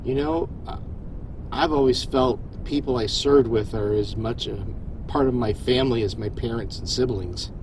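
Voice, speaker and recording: A man's voice: mid-range voice, normal speed, background noise, lightly acted. A man playing a supportive, self-deprecating starship captain in an audio drama, earnest and folksy. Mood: gentle concern. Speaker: voice actor.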